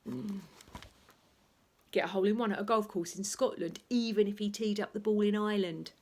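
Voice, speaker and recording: A woman reading a children's bedtime story aloud in an expressive, playful way, acting out noises as she goes. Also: medium pitch, a little fast. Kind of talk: animated bedtime story reading with sound effects and asides.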